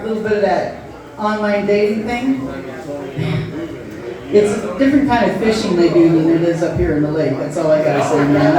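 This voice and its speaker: Middle-aged female bar comedian, folksy rambler, distant mic in a very echoey room with audience noise. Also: medium pitch. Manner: rambling, folksy, self-deprecating. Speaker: stand-up comedian and event host. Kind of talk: casual stand-up comedy set. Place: live in a bar and grill.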